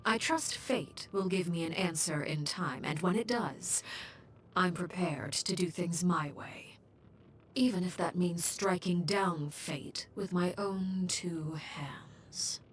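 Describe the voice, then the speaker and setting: A woman playing a stern, composed and coldly menacing female leader in a video game, speaking in a measured, formal way with a breathy, hushed voice. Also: lowish voice, a little hiss or hum. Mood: cold, ominous. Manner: measured, formal, deliberate, coolly restrained. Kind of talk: scripted video game character voice lines.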